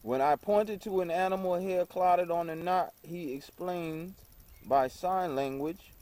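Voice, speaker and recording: A man reading an old book aloud in a halting way, stumbling over words and repeating phrases. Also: high voice, a little mellow. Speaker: reader.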